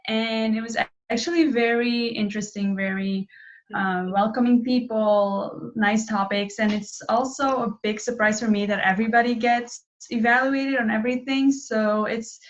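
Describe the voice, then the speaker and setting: A woman's voice: fairly high pitch, fairly even intonation, moderate pace. A woman from Belgium speaks earnestly and a little hesitantly over a video call. Her audio sounds dull and lo-fi. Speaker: guest.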